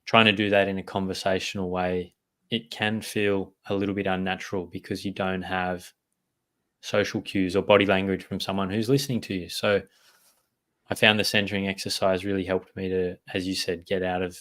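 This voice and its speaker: A man with a very low voice talks about his own experience in a live conversation. His delivery is flat and even, with little rise and fall, and he speaks in a measured, reflective way, pausing to choose his words.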